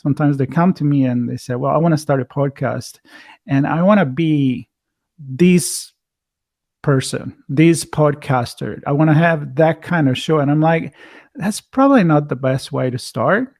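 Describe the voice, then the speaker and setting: A man's voice: fairly high pitch, moderate pace, darkish tone, dry recording, studio quality. A man with an Italian accent, a podcast host chatting casually in a musing, loosely phrased way. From Italy. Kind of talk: casual conversational interview.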